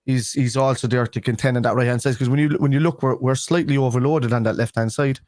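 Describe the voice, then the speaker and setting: A man's voice: mid-range voice, fairly even intonation, quick pace, a little mellow, dry recording. Scouse man talking after a tooth extraction. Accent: Liverpool. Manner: rambling with many restarts. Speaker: co-host of a football fan stream. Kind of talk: casual live stream chat.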